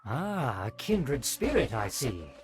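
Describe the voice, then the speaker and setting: A man's voice: mid-range voice, very animated, slow, over music. A man voicing a pompous, haughty aristocrat with formal, archaic speech in a video game. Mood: condescending approval. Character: pompous, haughty aristocratic nobleman, easily flattered. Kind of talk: scripted video game character acting.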